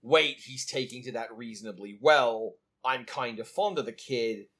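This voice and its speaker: A man's voice: mid-range voice, normal speed, a bit bright, breathy edge. Chatty man discussing a film. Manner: chatty, enthusiastic, acts out quotes. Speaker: podcast co-host.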